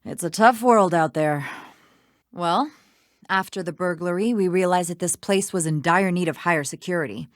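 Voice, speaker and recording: An actress as a sardonic, low-voiced woman lawyer. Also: normal speed, no room sound, natural voice. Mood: matter-of-fact. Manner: dry, exasperated, matter-of-fact. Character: busy, sardonic small-town woman lawyer. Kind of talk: scripted video game dialogue.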